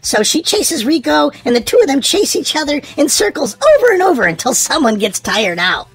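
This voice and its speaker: A woman reads a children's storybook aloud in an expressive, sing-song way, leaning on key words for young listeners. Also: high voice, moderate pace, low-quality recording.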